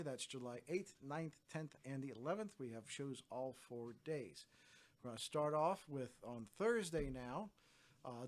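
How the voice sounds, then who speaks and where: Laid-back man rattling off weekend listings. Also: mid-range voice. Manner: relaxed and chatty with frequent "uh" fillers and dry asides. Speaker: host of a wrestling events roundup show. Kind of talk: casual solo roundup, talking to camera.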